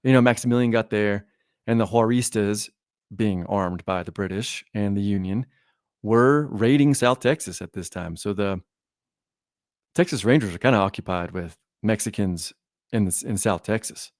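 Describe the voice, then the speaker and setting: Guy with a lowish voice, kinda hoarse from being sick, rambles and corrects himself, dry close mic. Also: normal speed, dry recording. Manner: rambling storytelling with self-corrections. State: losing his voice, sick. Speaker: guest historian. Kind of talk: casual podcast interview.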